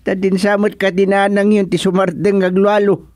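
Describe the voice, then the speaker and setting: An actor playing a chatty, blunt older village husband in an Ilocano comedy radio drama. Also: male voice, sits high, level tone, normal speed, darkish tone, no echo, studio quality, natural voice.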